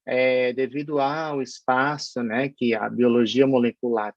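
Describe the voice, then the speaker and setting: A lecturer from Paraíba with a Northeastern Brazilian accent, giving an online university class in a hesitant, explanatory way with many fillers and restarts. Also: male voice, mid-range voice, little pitch movement, measured pace, dry recording, dull audio. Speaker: pharmacology lecturer.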